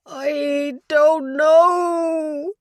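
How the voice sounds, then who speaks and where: A woman's voice: sits high, fairly even intonation, very slow, no echo, pitched above the performer's own voice. A woman voicing a confused, guilt-ridden dying old man in an audiobook, speaking in a broken, emotional way. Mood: wailing anguish. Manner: mumbling, wailing, broken. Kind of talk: audiobook character dialogue.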